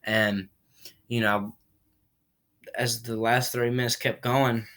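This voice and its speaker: A man giving a casual spoken movie review while recovering from a cold, rambling and often clearing his throat. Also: medium pitch, little pitch movement, slow pace, fairly bright tone. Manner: rambling, repeats himself, frequent throat clearing.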